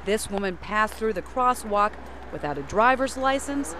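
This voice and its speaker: A man's voice: high-pitched, lively intonation, normal speed, noisy background. A man working as a TV news reporter, reading scripted narration.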